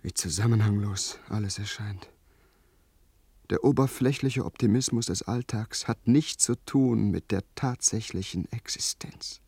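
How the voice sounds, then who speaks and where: An actor playing an anguished, brooding young lord, breathy and melodramatic, in a radio play. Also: male voice, fairly low pitch, a little slow, dry recording, full character voice. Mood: bitter, brooding. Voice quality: breathy, hushed. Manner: haunted, melodramatic. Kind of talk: German radio play acting.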